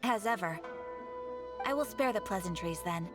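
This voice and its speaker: A woman voicing a courteous, formal guild receptionist in a game, speaking in an even, polished way. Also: medium pitch, moderate pace, over music, natural voice. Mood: polite. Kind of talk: game dialogue.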